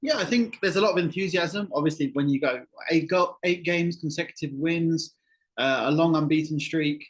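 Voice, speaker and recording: English-born football journalist, middle-aged, slightly high voice, easygoing podcast guest. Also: male voice, moderate pace. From England. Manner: relaxed, thoughtful, restarts phrases. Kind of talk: podcast interview answers. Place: Colombia, remote call.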